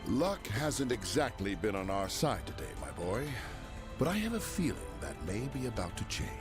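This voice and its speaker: A courteous leader in a game cutscene, polite and gently formal, with a very lively, rising and falling delivery. A score plays beneath him. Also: male voice, lowish voice, moderate pace, over music. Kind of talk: video game voice acting.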